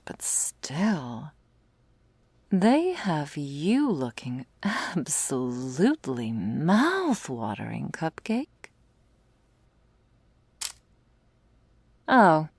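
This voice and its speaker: Casting: smug, flirtatious vampire huntress of prey for ASMR roleplay, played by a woman; slow drawl with gravelly fry, teasing and breathy. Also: low voice, a little mellow, no echo, exaggerated voice. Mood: gloating, flirtatious. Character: smug, predatory female vampire, taunting and flirtatious.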